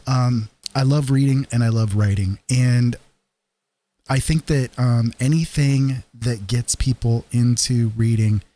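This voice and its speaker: A man's voice: medium pitch, warm tone. Friendly, rambling American man chatting on stream. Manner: friendly, rambling, reassuring, chuckles often. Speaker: live streamer host. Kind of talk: casual livestream chat to viewers.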